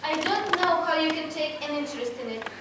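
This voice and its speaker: A prim, proper housekeeper, played by a woman, deferential but stern, her voice set high. She sounds far from the mic in an echoey, noisy recording. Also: fairly quick, lightly acted. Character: prim middle-aged female housekeeper.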